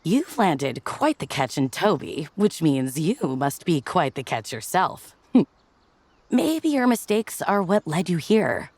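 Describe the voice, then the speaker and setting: A woman's voice: sits low, big pitch swings, slow, a little mellow, husky, no room sound, heavily acted. A woman voicing an easygoing, frank and supportive woman in a motion comic, with casual, slangy phrasing. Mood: consoling.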